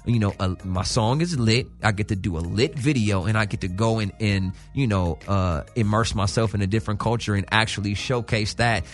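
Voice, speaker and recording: A man's voice: fairly low pitch, fairly quick, few pauses. A man chatting casually as a radio interview guest, emphatic and slangy, repeating himself and checking in with the listener. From South Central Los Angeles, California. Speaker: guest, music artist. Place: radio show studio.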